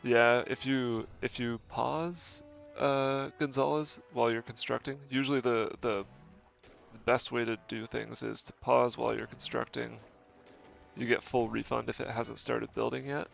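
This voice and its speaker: A man with a cold, his nose stuffy, talks through a strategy game in easygoing commentary, thinking aloud and correcting himself as he goes. His voice is gravelly, with heavy fry, at a middle pitch. The stream audio sounds dull and lo-fi, with faint game music behind him. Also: flat delivery, unhurried, a bit bright. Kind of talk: casual gameplay commentary.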